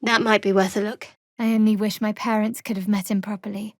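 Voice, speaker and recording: A woman's voice: medium pitch, flat delivery, fairly quick, dry recording, close to an everyday voice. An actress playing a guarded, sardonic young female cleric in a fantasy video game, speaking in a dry, clipped manner. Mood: earnest, awed.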